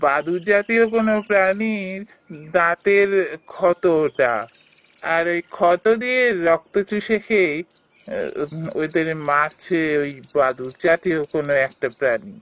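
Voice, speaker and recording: Man acting a high-voiced, deferential police officer. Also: expressive, unhurried, a bit bright. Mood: grave, dramatic. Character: deferential local police officer reporting eerie killings. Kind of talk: animated ghost story voice acting.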